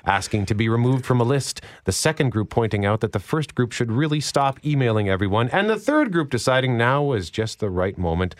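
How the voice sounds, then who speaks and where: A man's voice: medium pitch, sing-song, a little fast, few pauses. A man with a Canadian accent hosting a radio show, bantering and reading items aloud.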